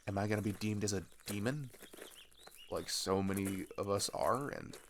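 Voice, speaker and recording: A young man playing a scared, grieving older teenage boy in an intimate audio roleplay, talking in a halting, casual, rambling way. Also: fairly low pitch, slight background noise, close to an everyday voice. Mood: fearful. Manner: halting, rambling, casual youthful speech with sighs.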